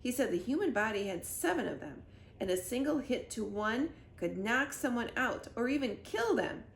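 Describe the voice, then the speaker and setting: A woman's voice: on the high side, big pitch swings, moderate pace. A woman reading a children's story aloud expressively, as a library storyteller. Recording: roomy echo with clear background noise.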